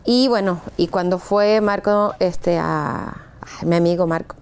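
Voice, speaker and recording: A Chilean singer who has lived in Mexico for years, chatting as a guest in an enthusiastic, friendly way. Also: female voice, on the low side, measured pace, a little mellow, clear, resonant tone. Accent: Chilean softened by years in Mexico. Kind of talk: casual conversational interview.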